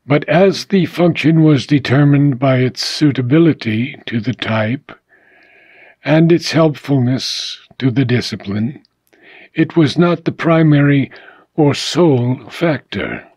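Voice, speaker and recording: A man reading a philosophical book aloud in a measured, deliberate way, pausing between short phrases, with a husky voice. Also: mid-range voice, darkish tone, no echo. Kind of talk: slow, deliberate audiobook reading.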